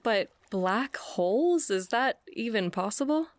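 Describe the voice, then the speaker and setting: A woman's voice: fairly high pitch, animated, a little slow, dry recording. An actress playing a sceptical, wisecracking young woman in a scripted audio drama, with an incredulous, slangy, joking way of talking.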